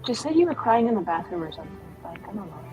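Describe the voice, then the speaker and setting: A woman's voice: fairly low pitch, very animated, racing, muffled recording, over music, pitched below the performer's own voice. A woman playing a sassy, flippant rogue in improvised tabletop roleplay, rambling and teasing in slangy talk. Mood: sly, teasing.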